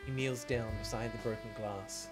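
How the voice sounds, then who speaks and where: A man's voice: medium pitch, fairly even intonation, normal speed. A man streaming a game, reading visual novel narration aloud and adding casual commentary. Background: music.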